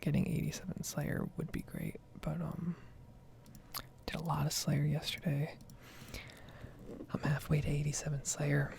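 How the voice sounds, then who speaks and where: Female ASMR gamer, breathy whisper with very heavy fry, casual and rambling, close-miked. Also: low-pitched, level tone, measured pace, pauses often, darkish tone. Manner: casual, rambling, mild swearing. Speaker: ASMR gaming streamer. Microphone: close microphone.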